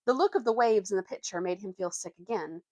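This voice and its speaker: A woman reading a children's adventure book aloud as an expressive storyteller. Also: mid-range voice, quick pace, dry recording.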